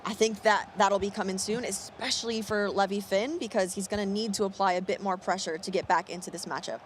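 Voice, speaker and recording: A woman's voice: mid-range voice, steady tone, brisk, a little hiss or hum. A woman from the United States doing fluent, upbeat live esports commentary and reading out chat questions. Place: event venue.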